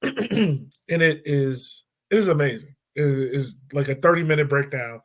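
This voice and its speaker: A man hosting a podcast in relaxed, chatty sports talk, often laughing and dropping casual fillers. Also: on the high side, a little slow. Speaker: podcast host.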